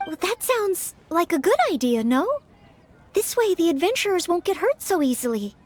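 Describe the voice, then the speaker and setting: A woman's voice: very high-pitched, very animated, normal speed, darkish tone, slight background noise. A woman voicing an eager, polite young maid-knight girl in a video game, earnest and apologetic in manner. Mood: polite, curious. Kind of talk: scripted video game character acting.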